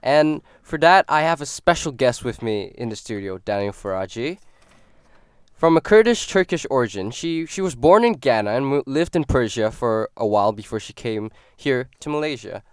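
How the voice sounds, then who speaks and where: A man's voice: mid-range voice, lively intonation, normal speed, smooth, dry recording. A man hosting a morning radio show in a studio, interviewing a guest in an upbeat, friendly way. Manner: upbeat and friendly, asking questions.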